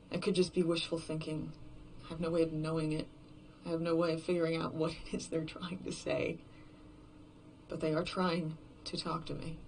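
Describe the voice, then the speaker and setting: A despairing lone survivor, played by an actor in a horror audio drama, with a gravelly fry in a mid-pitched voice. The delivery is halting, brooding and confessional, sinking toward whispers. A faint layer of noise sits behind the bitter monologue. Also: natural voice, rough recording. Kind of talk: first-person horror fiction podcast monologue.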